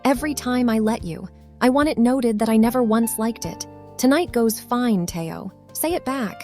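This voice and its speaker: An actress playing a blunt, protective sister in an audio drama, dry and insistent, wry but worried. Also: female voice, a little high, lively intonation, normal speed, a little mellow, faint music behind, natural voice. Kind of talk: dramatic audio fiction dialogue.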